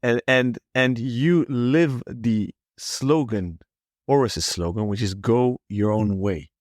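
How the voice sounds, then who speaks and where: A man co-hosts a casual podcast interview. He asks long, winding questions and teases his co-host in a friendly way. His voice is mid-pitched and recorded close and dry. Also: slow, no room sound.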